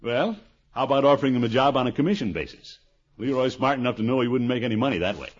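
A man's voice: mid-range voice, expressive, fast, lightly acted. Man acting a blustery, swooping middle-aged uncle on old radio. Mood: fussy, exasperated. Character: pompous, blustery middle-aged uncle, a town water commissioner. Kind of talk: 1950s radio situation comedy acting. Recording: dull, noisy old radio recording.

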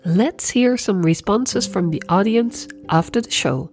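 A woman's voice: medium pitch, expressive, a little slow, over music. A woman hosting an arts report, narrating from a script and putting questions to guests. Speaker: host, interviewer.

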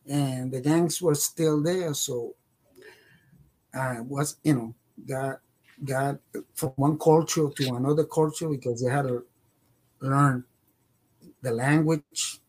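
A man in his sixties with a Puerto Rican accent, a native Spanish speaker, telling his life story haltingly as a podcast guest. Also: medium pitch, fairly even intonation, a little mellow, low-quality recording. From Puerto Rico. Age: 60s. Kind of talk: personal life-story interview.

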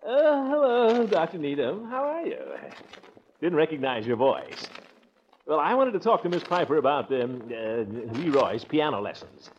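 An actor playing a pompous, flustered middle-aged uncle, blustering and stammering in a 1940s radio comedy. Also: male voice, a little high, big pitch swings, normal speed. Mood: awkwardly genial. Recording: muffled, noisy 1940s radio recording with clicks.

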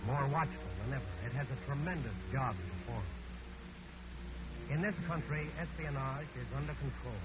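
A man narrating a scripted radio drama in a grave, declamatory 1940s style. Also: medium pitch. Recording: muffled 1940s radio recording, loud music.

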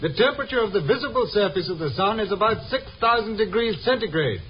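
An actor playing a fragile sideshow mental marvel with a formal, precise way of speaking in a 1950s radio drama. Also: male voice, high-pitched, lively intonation, fast talker. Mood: reciting. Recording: dull noisy vintage radio, crowd behind.

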